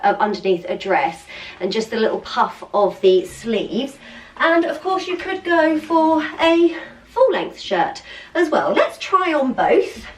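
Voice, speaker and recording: A young woman vlogging at home, chatting casually as she tries on outfits and unboxes makeup, gushing and repeating words for emphasis, a little stuffy from a cold. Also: mid-range voice. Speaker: lifestyle vlogger. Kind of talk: casual try-on and unboxing chat. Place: room at home. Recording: echoey room, voice from a distance.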